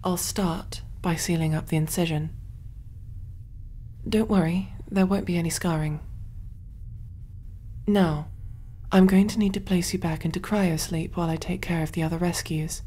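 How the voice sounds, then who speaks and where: Casting: woman as motherly alien medic for sci-fi ASMR roleplay. Low-pitched, soft and whispery, gentle, reassuring, over a noisy ambient bed. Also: steady tone, normal speed, pauses often, close to an everyday voice. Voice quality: soft, breathy, often whispery. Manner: gentle, reassuring, musing aloud while working. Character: kind, motherly female alien surgeon rescuing a human.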